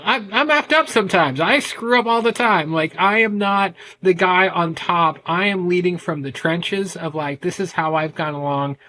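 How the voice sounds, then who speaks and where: A middle-aged American man with a loud voice, telling his story candidly in a conversational interview, with frequent restarts and fillers. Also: sits high, poor audio. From United States. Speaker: guest, men's ADHD coach.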